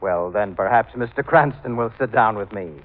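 An actor playing a suave, sinister, cultured killer in an old-time radio drama, speaking with mannered, mocking politeness. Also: male voice, on the low side, normal speed, lightly acted. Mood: mocking affability. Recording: tinny band-limited old radio recording, music behind.

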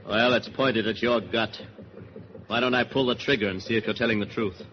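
A man acting a shrewd, cool-headed boat skipper in 1940s radio drama style, measured, commanding and dryly taunting. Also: on the low side, fairly even intonation, lightly acted. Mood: coolly threatening. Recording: muffled, noisy 1940s radio recording.

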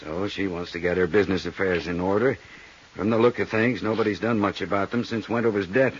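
A man playing a big, easygoing American accountant in his late forties in a 1950s radio drama, husky and chatty, with a folksy, rambling manner. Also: sits low, fairly even intonation, fairly quick, a little hiss or hum, lightly acted. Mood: chatty, explaining. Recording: dull, muffled 1950s radio recording.

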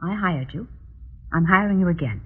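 An actress playing a proper, stubbornly disbelieving wife in an old-time radio drama, with a slightly husky voice and a polite, clipped, defensive manner. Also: female voice, lowish voice, moderate pace. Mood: firm, agitated. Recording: dull, lo-fi vintage radio recording.

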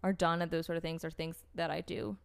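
A woman's voice: fairly low pitch, flat delivery, fairly quick, creaky, smooth. A young American woman telling a personal story in casual conversation, rambling and leaning on "like" and "um" as she restarts her sentences. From United States. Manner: rambling, heavy use of "like" and "um", frequent restarts.

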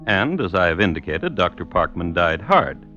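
A man playing an urbane crime-story narrator on old-time radio, speaking in a measured, wry, mock-formal way with deliberate pauses. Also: sits low, very animated, pushed and bright, full character voice. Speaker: narrator and host of a crime drama. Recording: dull vintage radio recording, music behind. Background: plucked guitar music.

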